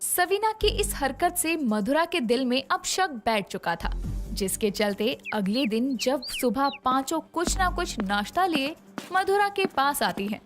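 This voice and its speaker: A woman narrating a scripted moral story in a dramatic, expressive way, over soft background music. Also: high voice, a little slow.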